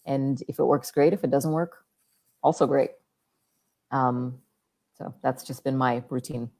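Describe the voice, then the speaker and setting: A woman guest with a deep, calm, soothing voice, chatting in an easygoing way about her skincare routine. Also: unhurried. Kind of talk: casual chat about skincare.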